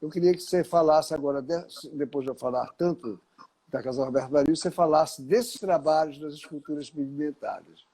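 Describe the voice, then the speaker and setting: A man's voice: fairly high pitch, brisk, warm tone, poor audio. An older man from Paraíba, an art critic interviewing an artist, speaking expansively and correcting himself as he explains. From Paraíba, Brazil. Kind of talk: conversational interview.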